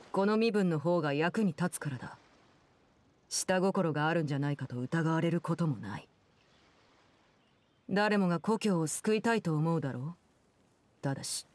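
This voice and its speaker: An actress playing an aloof, commanding woman in a Japanese game, speaking in a composed, measured, formal way. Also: lowish voice, darkish tone, close to an everyday voice. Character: cool, commanding woman, aloof diplomat and stern guardian. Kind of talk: Japanese anime-game voice acting.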